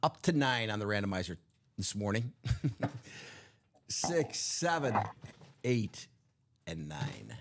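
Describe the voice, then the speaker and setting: A man hosting a live card break stream, chatting loosely with many fillers, his voice going hoarse. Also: on the low side, sing-song, slow, pauses often, fairly bright tone, a little hiss or hum. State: losing his voice. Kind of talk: casual live stream chat.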